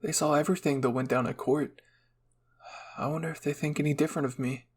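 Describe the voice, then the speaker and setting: A man reading a first-person fan fiction story as soft, measured ASMR narration. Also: a little high, steady tone, husky.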